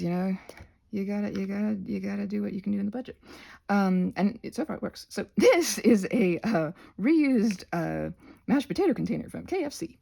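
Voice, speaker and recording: A woman's voice: mid-range voice, gravelly, mellow, husky. A woman hosting a casual craft tutorial in a scattered, rambling way, trailing off mid-sentence and talking to herself with a playful streak.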